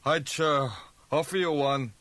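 Burly Danish crewman for an audiobook: Danish accent, blunt, offhand delivery, pitched well up, very dry sound. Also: fairly even intonation, a little slow, fairly bright tone, no echo. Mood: casual, friendly. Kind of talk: audiobook character dialogue.

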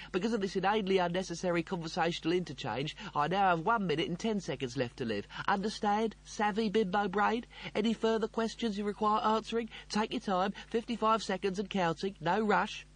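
A man's voice: high-pitched, pitched above the performer's own voice. A man voicing an exasperated, ranting ship computer in an audiobook, with clipped sarcasm and outbursts.

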